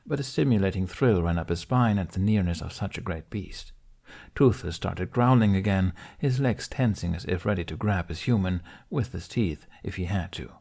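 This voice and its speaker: Low, husky male story narrator. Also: a little mellow. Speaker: audiobook narrator. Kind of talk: dramatic scripted story narration.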